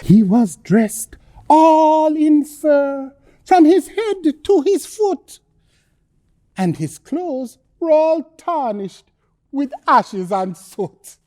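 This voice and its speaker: A woman reading a bedtime story in verse with expressive, theatrical delivery. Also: high voice, very slow, dry recording, clean studio sound. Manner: expressive, dramatic.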